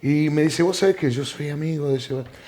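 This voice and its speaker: A man's voice: a little high, brisk, dark tone. A man, chatting casually as the interviewer. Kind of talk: casual chat.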